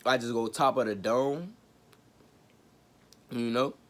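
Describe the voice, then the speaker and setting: A man hosting his own sports talk show, raspy from a cold, chatting casually with slang and jokes. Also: mid-range voice, slow, fairly bright tone. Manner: laid-back, joking, chatty with slang. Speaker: sports podcast host.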